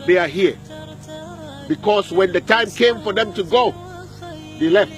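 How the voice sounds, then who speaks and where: A man's voice: high-pitched, unhurried, a bit bright, faint music behind. A man preaching a sermon at a graveyard, repeating lines and putting questions to his listeners, with Arabic verses in between. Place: outdoor graveyard.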